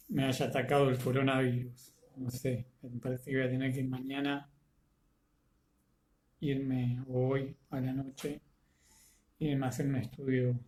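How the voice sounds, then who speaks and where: Casting: Rioplatense Spanish man, teacher with a cold, slow and unhurried, echoey room. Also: mid-range voice, darkish tone, slight background noise. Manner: greets attendees by name, halting with many fillers. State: congested with a cold and fever. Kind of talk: live online lecture.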